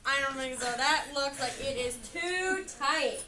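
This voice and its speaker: A woman's voice: high-pitched, unhurried. An American woman reading a picture book aloud interactively, chatting, asking questions and encouragingly echoing the answers. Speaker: picture-book reader with a child. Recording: noisy room, distant microphone.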